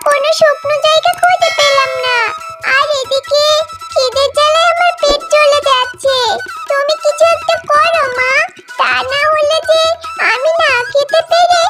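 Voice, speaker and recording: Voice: extremely high pitch, fairly even intonation, moderate pace. A performer voicing a small, anxious fox daughter in a children's cartoon, pleading in a childlike, plaintive way over music. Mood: desperate, hungry. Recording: loud music under the voice.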